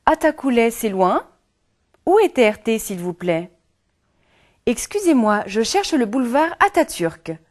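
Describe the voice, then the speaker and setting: Lively woman co-host reading lesson examples. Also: fairly high pitch. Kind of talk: scripted teaching examples.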